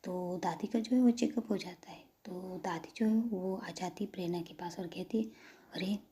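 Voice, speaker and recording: A woman narrating a romantic audio story in a soft, half-whispered, breathy voice. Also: lowish voice, steady tone, moderate pace.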